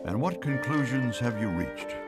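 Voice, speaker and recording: Wise old gentleman male actor, kindly and measured, expressive intonation, history cartoon role with clear music underneath. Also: medium pitch, natural voice. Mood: measured, concerned. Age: older. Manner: avuncular, reasoning. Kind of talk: animated history cartoon acting.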